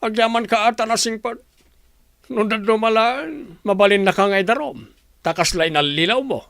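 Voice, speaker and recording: A man's voice: high-pitched, moderate pace, creaky, fairly bright tone, dry recording, studio quality. A man acting a stern, scolding older father in an Ilocano radio drama, with emphatic, reproachful questioning.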